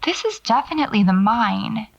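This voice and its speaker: A woman's voice: fairly high pitch, animated, moderate pace, creaky, highs cut off. A woman acting as a wry, stubborn paranormal investigator in an audio drama, talking to herself as she records field notes. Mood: wary, focused. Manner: muttering to herself, sardonic, self-coaching.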